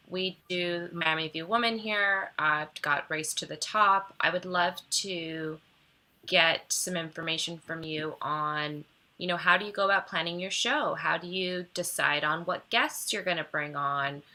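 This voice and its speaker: Woman, talk show co-host, bright forceful tone, slightly low pitch, casual interviewing.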